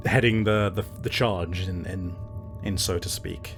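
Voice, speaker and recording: Slow-talking man narrating a game. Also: low voice, fairly even intonation, faint music behind. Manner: halting, searching for words. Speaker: game master. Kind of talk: improvised tabletop game narration.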